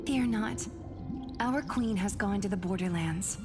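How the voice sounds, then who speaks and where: A woman's voice: medium pitch, measured pace, natural voice. A woman playing a steadfast royal subject in a video game, speaking in a formal, reassuring way. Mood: reassuring resolve. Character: steadfast woman loyal to her queen. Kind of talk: scripted video game cutscene. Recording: game music behind the voice.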